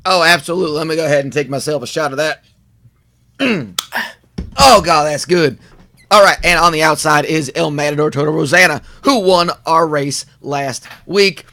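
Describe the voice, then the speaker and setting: A man's voice: fairly high pitch, moderate pace, bright, forceful tone. A man doing comedic live race commentary, rambling with many fillers and restarts.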